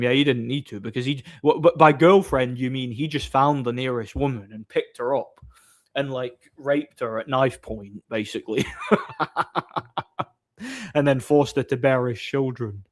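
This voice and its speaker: A man's voice: medium pitch, fairly even intonation, moderate pace. Boastful man on a livestream. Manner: boastful, mocking, reads viewer messages aloud. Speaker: live streamer reading chat. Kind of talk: casual livestream chat.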